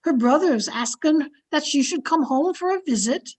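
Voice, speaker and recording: An actress playing an old maidservant in a gothic radio drama, speaking in an earnest, dramatic way. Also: female voice, high-pitched, lively intonation, normal speed, a little mellow. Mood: anxious, deferential. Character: old housemaid telling a grim gothic tale. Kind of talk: old-time radio storytelling.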